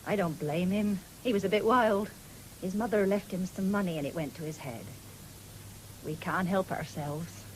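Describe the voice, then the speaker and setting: A woman's voice: on the low side, normal speed, close to an everyday voice. An actress playing a proud, firm, plain-spoken English farm wife and mother in a radio drama. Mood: polite, businesslike. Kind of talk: 1970s British radio drama acting. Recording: noisy old radio broadcast recording.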